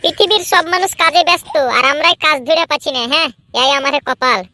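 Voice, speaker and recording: A woman's voice: high voice, quick pace, bright, forceful tone. A woman voicing a restless young village lad in a comedy cartoon, plaintive and nagging. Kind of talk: exaggerated comedy cartoon dialogue.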